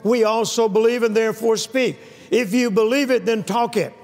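An older man preaches on stage, emphatic and repetitive, drawing amens from the crowd. His voice sits high for a man and has a gravelly edge. A lapel mic catches him, with a long reverb trailing through the big hall. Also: steady tone, normal speed, a little mellow. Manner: emphatic, repeating key phrases, calls for amens. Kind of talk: sermon. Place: large church hall with a stage and live audience.

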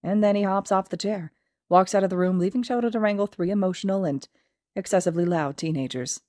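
A woman's voice: medium pitch, fast, dark tone, no room sound, clean studio sound. A woman reading a story aloud as a podfic narrator, in scripted fiction narration.

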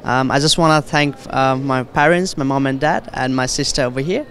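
A man's voice: medium pitch, a little fast, a bit bright. A young man from Nepal, giving a thank-you message into a handheld microphone. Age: young adult. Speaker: graduating student.

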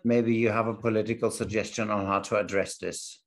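A man's voice: on the low side, fairly even intonation, fairly quick. A man moderating a panel discussion over a video call, formal and a little hesitant.

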